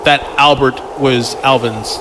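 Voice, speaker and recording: A man's voice: mid-range voice, steady tone, takes it slowly, background noise. A man hosting a comedic podcast, chatting casually and profanely as he reads a story aloud and breaks off to comment in amusement. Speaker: podcast host.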